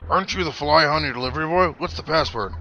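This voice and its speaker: A man's voice: a little high, fast talker, bright, forceful tone, a little hiss or hum, pitched above the performer's own voice. A man voicing a suspicious, insistent gatekeeper from a video game, demanding answers. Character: suspicious gatekeeper demanding a password from a delivery boy.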